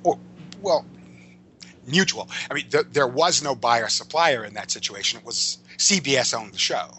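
An older American man speaking as an interview guest, reminiscing in a discursive, halting way and often correcting himself. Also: mid-range voice, expressive, pushed and bright, slight background noise. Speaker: podcast guest, veteran writer.

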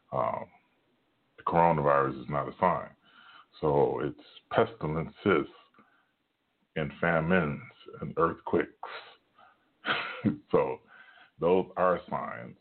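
Very deep, gravelly hushed preacher man. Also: narrow, tinny audio. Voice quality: very deep, rumbling voice. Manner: hushed, halting talk with many fillers. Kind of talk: informal home sermon. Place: home at night, son in next room.

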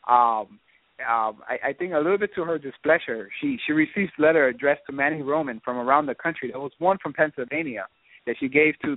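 A man's voice: fairly high pitch, no echo. A man from Miami, born in Puerto Rico, giving an earnest, explanatory political interview as a guest. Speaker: guest, local party vice chairman and political campaign surrogate. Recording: narrow phone-like line.

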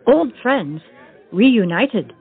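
A woman's voice: a little high, expressive, very slow, husky, a faint crowd. A woman narrating a comic stage play in a theatrical, scripted storytelling style. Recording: tinny phone-like sound, music behind. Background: music.

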